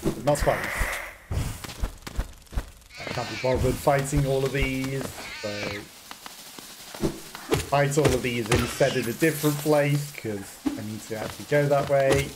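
A man's voice: medium pitch, measured pace, pauses often. A man, giving casual, playful gameplay commentary as he muses aloud. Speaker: let's play host. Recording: clearly noisy game stream with clicks.

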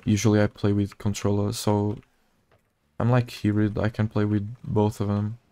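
A man with a Portuguese accent, a native Portuguese speaker, giving casual gameplay commentary in low-key asides to himself. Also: completely flat delivery, normal speed, warm tone, clean tone, no echo. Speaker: gaming video creator.

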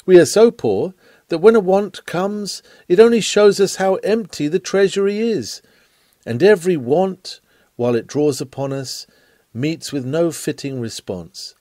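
A man's voice: high-pitched, lively intonation, a little slow, mellow, no room sound. A man reading an old sermon aloud in an earnest, rhetorical and emphatic way. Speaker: sermon reader.

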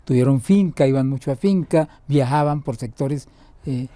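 A man's voice: mid-range voice, moderate pace, warm tone, no room sound. An older man interviewing a guest in a friendly, hesitant way with lots of fillers and restarts. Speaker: interviewer for a birding club. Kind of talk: casual conversational interview. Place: bright room with large windows, indoors.

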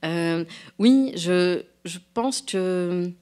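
Woman, 40s, author guest at a lectern mic, lower voice, reflective, halting with many pauses. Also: warm tone, clear, resonant tone. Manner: thoughtful, hesitant, many fillers and restarts. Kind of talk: unscripted public conversation. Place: lecture hall, standing at a lectern. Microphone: lectern microphone.

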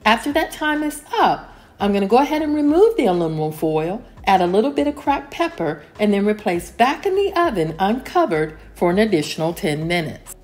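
A woman narrating a home cleaning vlog as a calm, scripted voice-over, steady and homey, with lines that fall at the end. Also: medium pitch, a little fast. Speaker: cleaning vlogger.

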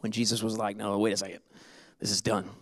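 A man in his thirties preaching a sermon on a church stage into a handheld mic, animated and joking, then dropping to soft earnest tones. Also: on the low side, quick pace. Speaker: pastor.

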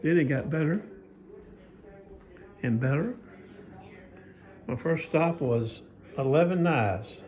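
A man's voice: fairly high pitch, takes it slowly, pauses often, a little mellow, highs cut off. Folksy man filming on his phone. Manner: folksy, rambling, offhand. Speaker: knife sharpener vlogger. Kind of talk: casual vlog narration. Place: outdoor farmers market parking lot. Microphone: phone camera held by speaker.

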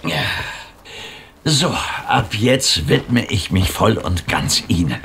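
Courteous psychiatrist with lively intonation. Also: male voice, lowish voice, slow pace, creaky, slight background noise, exaggerated voice. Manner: polite, reassuring, attentive. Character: courteous, patient, soothing male psychiatrist. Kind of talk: audio drama acting.

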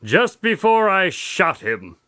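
A somber doctor who narrates cases. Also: male voice, sits high, wildly swooping pitch, slow, pushed and bright, dry recording, studio quality, full character voice. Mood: tense. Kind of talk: audio drama acting.

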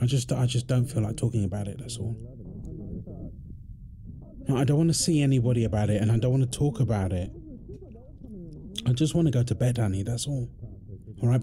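A man's voice: medium pitch, dark tone, close to an everyday voice. A man playing a tired, irritable firefighter husband in an intimate roleplay audio drama. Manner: weary sighs, snappy, then apologetic.

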